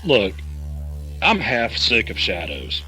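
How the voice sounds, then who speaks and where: A man's voice: fairly low pitch, wildly swooping pitch, slow pace, pushed and bright, faint music behind, exaggerated voice. An actor playing a wary, uneasy man caught in a conspiracy, speaking hesitantly in a naturalistic audio drama style. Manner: hesitant, questioning.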